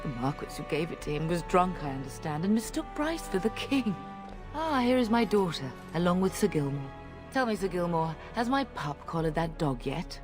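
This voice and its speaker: Wry, lively noblewoman. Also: lowish voice, moderate pace, over music. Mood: brisk, wry. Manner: refined, wry, imperious. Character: brisk, wryly commanding noble lady and mother. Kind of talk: video game voice acting.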